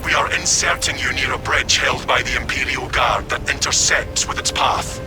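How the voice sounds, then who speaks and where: An actor playing a stern military commander issuing clipped orders over comms. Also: male voice, medium pitch, fairly even intonation, moderate pace, over music, close to an everyday voice. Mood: commanding.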